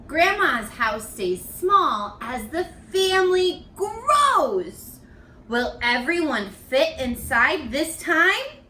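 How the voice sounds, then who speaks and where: A woman with a fairly high, bright voice leads a children's read-aloud lesson. She speaks expressively and encouragingly, at an unhurried pace, like a teacher with young pupils. The sound is roomy, recorded in a living room at home. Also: sing-song, slight background noise. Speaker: elementary school teacher. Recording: roomy, echoey home video.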